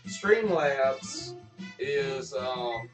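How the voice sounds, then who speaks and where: A man hosts an informal live stream in an easygoing, joking way, his voice at a middling pitch. He sounds some way from the microphone in an echoey room, with music clearly playing behind him. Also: huge pitch swings, drawn-out words. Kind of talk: casual pre-show chat. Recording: roomy, distant mic, music behind.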